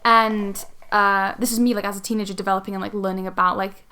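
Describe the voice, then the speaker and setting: A woman's voice: mid-range voice, little pitch movement, quick pace, pushed and bright, clean tone. Casual woman chatting as podcast co-host. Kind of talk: casual group chat.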